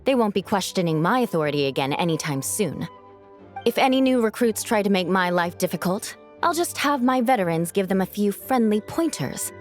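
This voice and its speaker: A woman acts out a young female knight captain from a video game, composed and proud. She speaks in measured, formal phrases at a medium pitch, with a dry, wry edge. Music plays behind her voice. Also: lively intonation, natural voice. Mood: assured, wry. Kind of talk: video game character dialogue. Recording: studio voice over game music.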